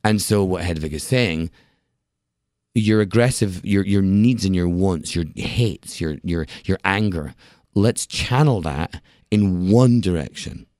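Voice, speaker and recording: A man's voice: deep voice, takes it slowly, no room sound. A man in his late thirties from Scotland, an actor telling stories with wry jokes and laughter as an interview guest in a podcast studio. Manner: expressive storyteller, wry jokes, frequent laughs. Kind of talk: casual interview talk.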